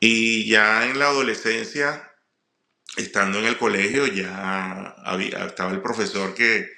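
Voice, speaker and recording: A middle-aged man with a Venezuelan accent tells personal stories informally, often halting and repeating words. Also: fairly low pitch, fairly even intonation, sharp tone. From Puerto La Cruz, eastern Venezuela. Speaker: comedian, guest speaker. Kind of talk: personal storytelling monologue. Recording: roomy echo.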